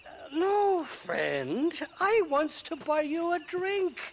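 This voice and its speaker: A man's voice: very high-pitched, huge pitch swings, slow pace, a little hiss or hum, heavily acted. A man playing a drunken, shifty Irish rogue in a western video game, slurred, rambling and ingratiating. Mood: wheedling. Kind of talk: video game character acting.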